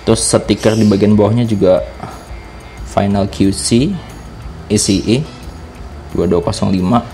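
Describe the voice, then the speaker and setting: A man's voice: fairly low pitch, fairly even intonation, measured pace, mellow, soft background music. A man with a Jakarta Indonesian accent giving a casual product review, explaining things informally step by step as a vlogger.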